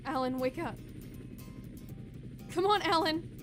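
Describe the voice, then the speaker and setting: An actress playing a feisty, blunt, sharp-tongued young soldier in a military radio drama. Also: female voice, sits high, slow pace, over music. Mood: distraught. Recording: loud score and battle effects behind.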